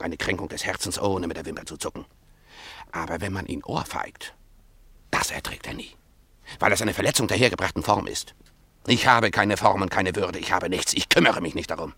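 A man's voice: low voice, normal speed, bright, forceful tone, slightly rough, no echo, heavily acted. An actor in a German radio play, playing an obsessed, lovesick young Russian man, proud yet self-abasing, with an intense, brooding, theatrical delivery. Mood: brooding, confessional.

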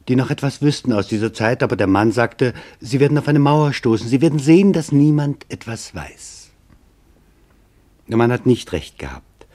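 A man playing a German reporter, narrating a radio documentary drama in a measured, grave and deliberate way. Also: medium pitch, a little mellow, close to an everyday voice. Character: male German reporter recounting his search for witnesses, sober.

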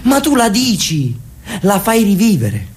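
An actor playing an elderly brother in a radio drama, a detached writer who speaks dryly and reflectively. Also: male voice, sits high, unhurried, slight background noise, full character voice. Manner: dry, reflective, argues calmly.

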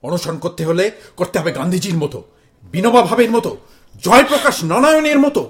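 A man's voice: sits high, sing-song, fairly quick, a bit bright. A man acting in a radio play as a self-important, indignant middle-aged Bengali father who declaims and hectors like a zealous protester. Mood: lecturing.

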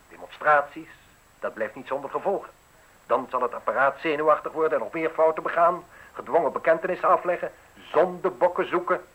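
A man's voice: mid-range voice, a bit bright, slightly rough. Unyielding newspaperman, mid-range voice, emphatic and sure of himself, dull old radio drama recording. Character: defiant, self-assured newspaperman facing arrest. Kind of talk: Dutch radio play acting.

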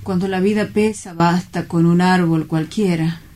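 A woman's voice: lowish voice, steady tone, moderate pace, mellow, lightly acted. A woman acting a gloomy, irritable client who is weary of life, in a radio drama. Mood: weary, offended.

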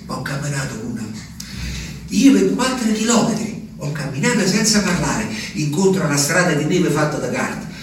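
A man's voice: fairly high pitch, lively intonation, noisy background, natural voice. An actor as haunted peasant man, echoing monologue. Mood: bewildered. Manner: halting, emphatic, repetitive storytelling with dramatic swells. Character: simple, haunted Abruzzese peasant man recalling his abandoned wife. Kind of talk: theatrical monologue for radio drama. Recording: very echoey, distant stage sound with music.

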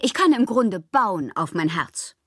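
Husky, whimsical woman poet. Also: medium pitch, very animated, a little slow, fairly bright tone, no echo, studio quality, full character voice. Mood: agitated, earnest. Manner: theatrical, swinging between breathy whispers and exclamations. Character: woman poet writing letters, whimsical, overwrought, lovelorn and melancholy. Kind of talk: radio drama monologue of read letters.

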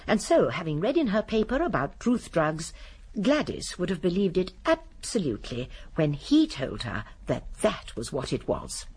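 A genteel old English lady who solves crimes in a radio play. Her voice is very husky and swoops expressively. She is prim and courteous, rambling a little, yet shrewdly sure of herself. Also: lowish voice, big pitch swings, moderate pace, full character voice. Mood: assured, explaining. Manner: prim, digressive, precise, gently assured. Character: shrewd, genteel elderly English lady amateur sleuth, politely modest. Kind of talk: BBC radio drama acting.

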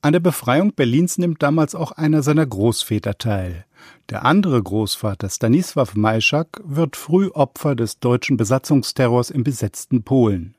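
A man's voice: mid-range voice, expressive, normal speed, darkish tone, slightly rough, dry recording. Dry studio male news narrator. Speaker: radio reporter.

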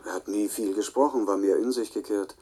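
An actor playing a frank, cooperative colleague in a radio play, answering in a measured, reflective and helpful way. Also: male voice, lowish voice, a little mellow, lightly acted. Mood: thoughtful, helpful.

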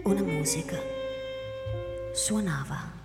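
A woman giving theatrical, expressive literary narration for a radio drama. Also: on the low side, slow pace, creaky. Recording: echoey, long reverb, music underneath. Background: music.

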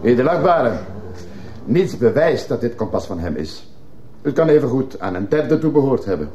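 A man's voice: mid-range voice, sing-song, moderate pace, warm tone, slight background noise, lightly acted. A man playing a persistent defence lawyer, formal and argumentative, in a radio courtroom drama. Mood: insistent, challenging. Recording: muffled old radio play recording.

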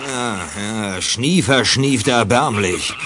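A man's voice: medium pitch, takes it slowly, background noise, close to an everyday voice. An actor playing a teasing, mocking male gangster in a children's crime audio play.

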